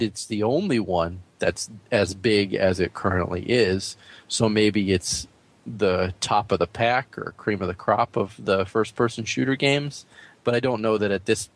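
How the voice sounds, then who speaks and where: A man with a fairly low voice co-hosts a gaming podcast. He talks casually and bluntly, recorded dry and close. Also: no room sound. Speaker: podcast co-host.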